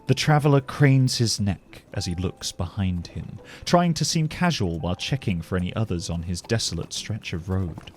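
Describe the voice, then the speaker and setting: A man with a low voice narrates a fantasy tale. He reads in a measured, descriptive storytelling style. Faint music plays behind him. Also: animated.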